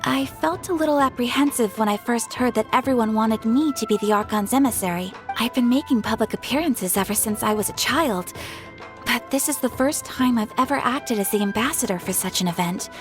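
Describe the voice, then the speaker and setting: A young woman playing a gracious, modest noblewoman in a video game, with polite, formal and measured delivery. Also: a little high, lightly acted. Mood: modest, confiding. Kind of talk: animated game voice acting. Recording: music playing behind the voice.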